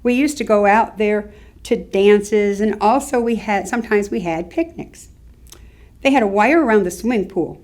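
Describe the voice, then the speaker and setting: A woman's voice: medium pitch, normal speed, a little mellow, close to an everyday voice. An actress performing an older Southern woman's memories in a staged reading, with understated wry humor. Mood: wry, nostalgic. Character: older Southern woman recalling youthful outings, wryly humorous. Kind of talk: staged reading of oral history recollections.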